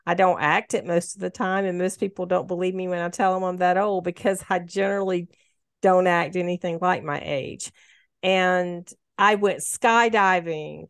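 A woman's voice: on the low side, fairly even intonation, moderate pace, clear, resonant tone, no echo, studio quality. A woman of about sixty, talking earnestly in a casual conversation and giving advice. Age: 60s. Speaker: guest sharing her story.

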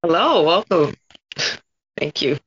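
A woman's voice: deep voice, expressive, takes it slowly, breathy edge. A woman answering interview questions in a casual, thoughtful way, rephrasing as she goes. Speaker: interview guest, voice actor. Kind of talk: casual reflective interview talk. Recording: dull, lo-fi sound.

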